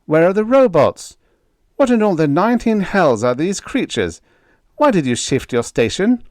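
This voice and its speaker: A man's voice: sits high, big pitch swings, normal speed, darkish tone, dry recording, studio quality, pitched above the performer's own voice. A man voicing an indignant, bossy senior colonial officer in an audiobook, blustering and officious as he makes demands. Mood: indignant, demanding. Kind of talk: audiobook character dialogue.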